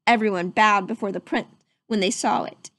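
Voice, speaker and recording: A woman reading a children's story aloud as narrator, with expressive, dramatic storytelling. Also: medium pitch, normal speed. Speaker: storybook reader.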